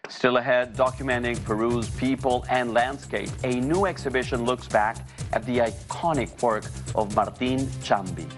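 A male news anchor introduces a segment in a crisp, formal way. His voice sits at a medium pitch, and soft music plays in the background. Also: normal speed, few pauses.

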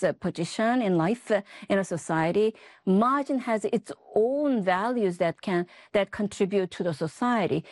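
A woman speaks as an author in an interview, explaining her ideas with care. She often pauses and adds "right?" to check in. Her voice sounds close and dry. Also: medium pitch, a little mellow, no room sound. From South Korea. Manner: thoughtful, explanatory, frequent tag questions. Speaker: author and guest. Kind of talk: interview answers.

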